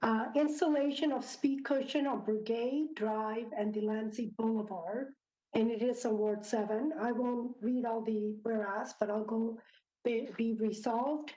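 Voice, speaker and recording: Hoarse woman councillor reading a motion. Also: fairly high pitch, steady tone, dark tone, poor audio. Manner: halting reading with many fillers and throat clearing. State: losing her voice from a cough. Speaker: city councillor.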